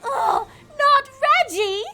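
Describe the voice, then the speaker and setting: A woman's voice: extremely high pitch, lively intonation, very slow, pitched above the performer's own voice, exaggerated voice. A woman voicing a dramatic, indignant, ladylike cartoon pony, prim and exclamatory, screechy when upset. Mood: panicked wailing. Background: music.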